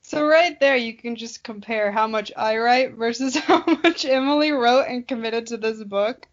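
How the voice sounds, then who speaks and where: A woman's voice: a little high, moderate pace, dull audio. A sarcastic, self-deprecating, giggly woman co-hosting a podcast with casual comic banter. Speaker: podcast co-host.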